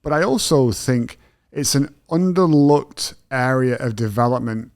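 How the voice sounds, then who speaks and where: A man's voice: medium pitch, lively intonation, measured pace, no room sound, clean studio sound. A man with a British accent hosting a podcast interview, asking thoughtful questions in a self-deprecating, conversational way. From Britain. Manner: thoughtful, self-deprecating, frequent agreeing "yeah". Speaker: podcast host.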